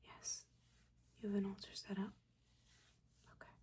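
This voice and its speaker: Witch mentor for ASMR roleplay, female performer: soft whispering, caring yet judging, gentle halting instruction. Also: medium pitch, completely flat delivery, close to an everyday voice. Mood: encouraging.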